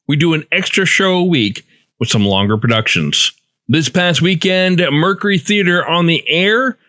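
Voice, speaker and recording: A man's voice: on the high side, a bit bright, dry recording, clean studio sound. A man hosting a podcast, reading a scripted introduction. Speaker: podcast host.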